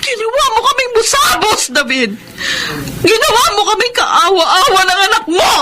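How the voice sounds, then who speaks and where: High-voiced, embittered long-suffering wife. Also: female voice, sing-song, moderate pace, crowd noise. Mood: tearful, anguished anger. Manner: impassioned, reproachful. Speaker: radio drama actress. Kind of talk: classic Filipino radio drama acting. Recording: noisy radio drama recording.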